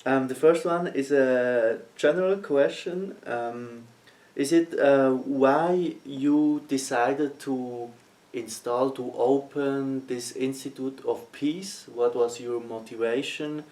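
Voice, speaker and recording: Slow, hesitant young man from Switzerland. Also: mid-range voice, fairly even intonation, a little mellow. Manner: hesitant, searching for words, many ums. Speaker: guest interviewer, student. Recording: voice heard from a distance.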